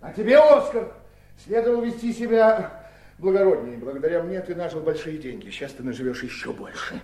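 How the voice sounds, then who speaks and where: An actor playing a wily, domineering elder brother in a Soviet radio drama, theatrical, mocking and commanding. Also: male voice, a little high, sing-song, brisk, mellow. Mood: aggrieved, threatening.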